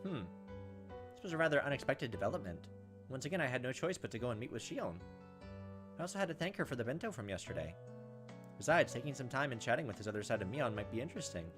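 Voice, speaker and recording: A man hosts a visual novel playthrough, reading the story aloud at a brisk pace. His pitch moves around freely, and he drops in casual, wry comments. Music plays behind his voice. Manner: animated, wry joking asides. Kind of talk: let's play narration with casual commentary.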